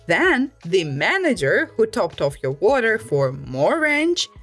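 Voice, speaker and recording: A woman narrates stories in a scripted storytime style. Her pitch sits in a middle range for a woman but moves up and down in a very lively way. Music plays behind her voice. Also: takes it slowly, breathy edge. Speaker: host reading Reddit stories.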